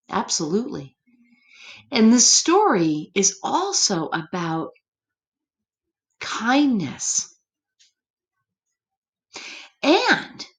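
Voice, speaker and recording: A woman reading a story aloud to young children, gentle and expressive, pausing to chat and ask them questions. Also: medium pitch. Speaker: children's story reader, teacher. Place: room at home.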